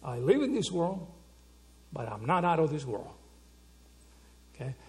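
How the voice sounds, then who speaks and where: A man's voice: fairly high pitch, big pitch swings, a little slow. A man from Argentina, preaching a sermon, asking rhetorical questions and checking in with "okay?" and "right?". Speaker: pastor.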